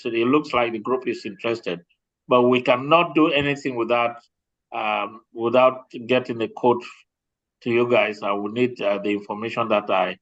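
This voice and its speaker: A man with a medium-pitched voice speaks as an insurance representative in an informal question-and-answer session. His delivery is halting, with repeated phrases. The audio is dull and lo-fi, like an online group call. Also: a bit bright. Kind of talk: informal explanatory Q&A presentation.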